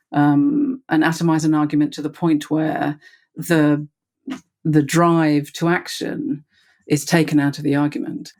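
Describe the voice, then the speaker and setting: British man, hesitant and measured. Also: a little high, a little mellow. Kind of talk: reflective interview talk.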